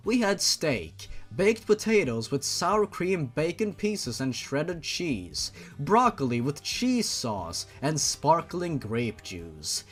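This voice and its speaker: Animated man theatrically reading a story. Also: fairly high pitch. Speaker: host reading fan fiction aloud. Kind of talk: dramatic mocking story reading.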